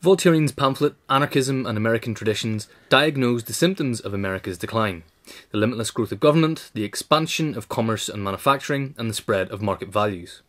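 A man reading a written biographical essay in a measured, formal way. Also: mid-range voice, lively intonation, fairly bright tone. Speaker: narrator, reader. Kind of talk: scripted narration of a biographical essay.

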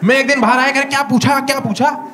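A young man with an Indian accent doing a stand-up comedy set in a small club, telling stories with animated acted-out dialogue into a handheld mic. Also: very high-pitched, quick pace. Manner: animated storytelling, acting out dialogue. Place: small comedy club stage before a live audience. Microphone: handheld stage mic.

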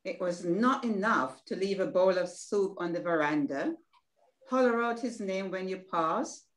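A woman's voice: medium pitch, a little slow, natural voice. An older woman with a Caribbean accent reading an indignant, tender-hearted younger sister in a play, over a video call. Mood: troubled, questioning. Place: indoor room at home, on video call. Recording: roomy video call sound.

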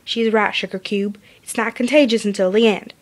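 A woman's voice: medium pitch, fairly even intonation, normal speed, natural voice. A woman voicing a stoic, grim, folksy female farm pony in a plain-spoken way for a story reading.

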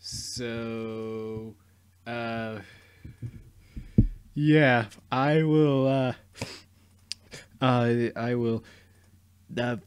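Slow-talking young man rambling over gameplay. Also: mid-range voice, steady tone, smooth. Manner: rambling, mock-boastful, self-mocking. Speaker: YouTuber. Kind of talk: casual gameplay commentary.

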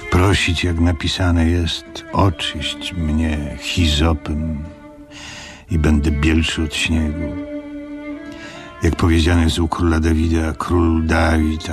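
Old pious innkeeper, radio play, rumbling low, unhurried, reflective and solemn, music behind. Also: male voice, full character voice. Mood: prayerful, weary. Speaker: radio drama actor. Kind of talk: dramatic monologue in a radio play.

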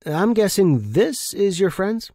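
Blunt, guarded space outpost worker read by a male narrator, husky, lively pitch, sci-fi audio story. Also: takes it slowly, dry recording, clean studio sound, heavily acted. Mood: pointed.

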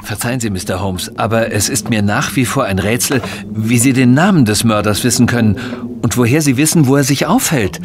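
An actor playing a polite, eager but baffled police inspector in a German audio drama, formal and deferential. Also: male voice, medium pitch, brisk, noisy background, natural voice. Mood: baffled, curious.

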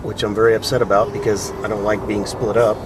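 A man's voice: fairly low pitch, flat delivery, quick pace, noisy background. A middle-aged man riding along in a car, bantering playfully and laughing through his jokes. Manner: joking, playful, laughs mid-sentence. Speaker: friend on road trip. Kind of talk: casual banter. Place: passenger seat of a car.

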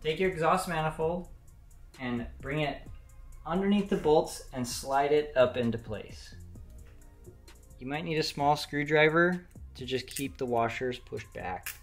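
Give me a young man calmly walking through a repair, recorded in an echoey garage. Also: a little high, measured pace, soft background music. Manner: plain step-by-step instructing. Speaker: mechanic host. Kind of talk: how-to repair walkthrough. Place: home garage workshop, indoors.

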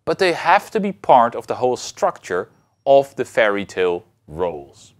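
Man lecturing with very animated intonation. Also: mid-range voice, normal speed. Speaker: university philosophy lecturer. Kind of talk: explanatory lecture to camera.

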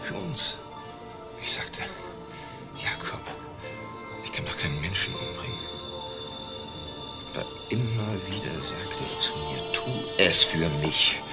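A man's voice: low-pitched, very animated, slow pace, long pauses, loud music behind the voice, heavily acted. A man playing a tormented, pleading murderer who confesses with anguished repetition in a crime drama. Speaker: actor playing a confessing culprit.

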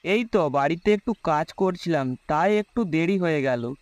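Man voicing a high-pitched, brash village lad. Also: expressive, normal speed, dry recording, natural voice. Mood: breezy, dismissive. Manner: dismissive, breezy. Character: brash, carefree young village man, teasing friend. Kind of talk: cartoon story voice acting.

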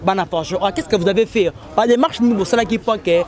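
A man working as a street reporter, pressing interviewees with quick, probing questions. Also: high voice, crowd noise.

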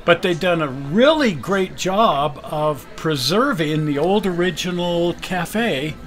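A man's voice: fairly high pitch, animated, takes it slowly, fairly bright tone, faint music behind. A man in his sixties hosting a video, chatting casually and nostalgically, laughing easily, recorded indoors on a camera. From Utah. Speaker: video host. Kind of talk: casual chat.

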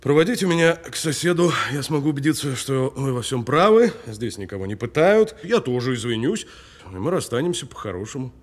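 An actor playing a cool, sardonic detainee who needles a policeman with deliberate, mocking delivery in an audio drama. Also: medium pitch, very animated. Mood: calm, sardonic. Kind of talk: naturalistic audio film drama acting.

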